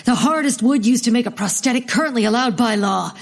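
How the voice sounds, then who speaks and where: A woman's voice: fairly high pitch, fast talker, gravelly. An actress playing an eccentric, defiant woman botanist with theatrical intensity in a comedic audio drama.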